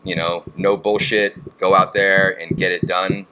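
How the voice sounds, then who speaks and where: Man, fairly low voice, bright tone, measured, businesslike. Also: level tone, clear, resonant tone, muffled recording. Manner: measured, businesslike, talks in brand terms. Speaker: managing partner. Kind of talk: casual interview talk.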